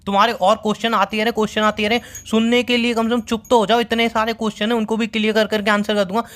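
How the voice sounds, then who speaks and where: Man playing young boyfriend, quick pace, exasperated, sarcastic, pleading, repetitive, arguing. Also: high voice, level tone, fairly bright tone. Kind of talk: heated relationship argument, recorded-call skit.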